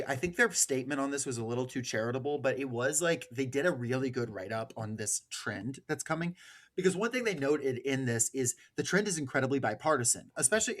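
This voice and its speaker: A man's voice: mid-range voice, a bit bright. A man hosting a podcast, discussing politics casually and bluntly, with frequent swearing. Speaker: podcast host.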